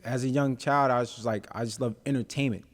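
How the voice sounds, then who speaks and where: A man's voice: medium pitch, steady tone, fast. A young adult man, a rapper being interviewed, talking in a laid-back, easygoing way with plenty of ums and uhs. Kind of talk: casual interview chat.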